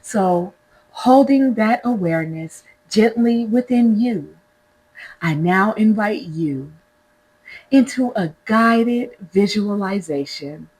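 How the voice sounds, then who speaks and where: A woman guiding a scripted meditation in a gentle, deliberate manner, pausing between short phrases. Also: mid-range voice, animated, husky, low-quality recording. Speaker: meditation guide, host. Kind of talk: guided meditation, scripted spiritual narration.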